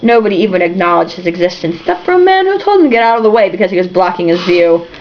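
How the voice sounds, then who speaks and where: A woman's voice: on the low side, very animated, rapid-fire, muffled recording, slight background noise. A woman reading a novel aloud for comedy, irreverent and breaking off for snarky, sweary asides. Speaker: amateur book reader and host.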